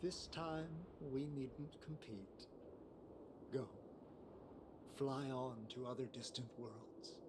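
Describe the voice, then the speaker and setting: A man playing an earnest, sentimental young envoy in a video game, speaking in a halting, heartfelt way. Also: medium pitch, noisy background, natural voice. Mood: bittersweet. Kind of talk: scripted video game acting.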